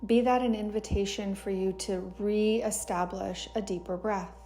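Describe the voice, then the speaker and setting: A woman guiding a yoga meditation in a soothing, gentle, unhurried way, as a teacher giving calm instructions. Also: mid-range voice, faint music behind. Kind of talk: guided meditation narration.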